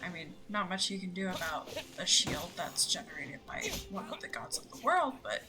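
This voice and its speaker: A woman's voice: lowish voice, big pitch swings, moderate pace. A young woman giving casual, playful and slightly sarcastic commentary while streaming a video game. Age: young adult. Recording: clear game noise behind voice.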